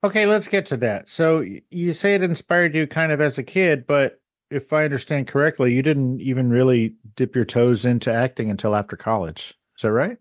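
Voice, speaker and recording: A man hosting a podcast interview, asking curious questions and reacting quickly. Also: medium pitch, no room sound, clean studio sound. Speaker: podcast host. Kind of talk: interview chat.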